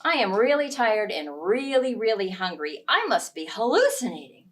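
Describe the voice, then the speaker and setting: A woman's voice: medium pitch, big pitch swings, normal speed, distant mic, lightly acted. Woman as a weary, emphatic woodcutter. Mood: weary, doubting. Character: simple, hungry married woodcutter, impulsive and weary.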